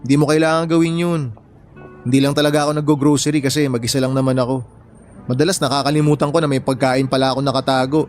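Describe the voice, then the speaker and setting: An actor playing an easygoing, helpful young bachelor in a radio drama, with casual, conversational delivery. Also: male voice, mid-range voice, little pitch movement, fast, a little mellow, close to an everyday voice. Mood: casual, kind. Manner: casual, teasing, reassuring. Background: music bed.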